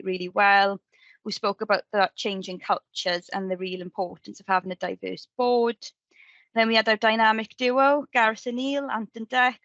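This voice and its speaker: A woman's voice: medium pitch, a bit bright, dry recording, lo-fi sound. A woman giving an informal work presentation in an upbeat, chatty, emphatic way. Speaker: committee member presenting at an annual meeting.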